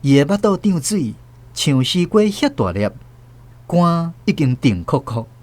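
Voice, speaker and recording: A man narrating a radio drama as host, with expressive storytelling. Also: a little high, measured pace.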